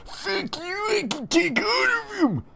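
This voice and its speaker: Shrieking, high-pitched belligerent boy. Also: expressive, very slow, vocal fry, a loud crowd, heavily acted. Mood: furious screaming. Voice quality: shrill, strained shrieking. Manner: yelling, bluntly profane. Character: loud, gleefully belligerent, fearless teenage boy.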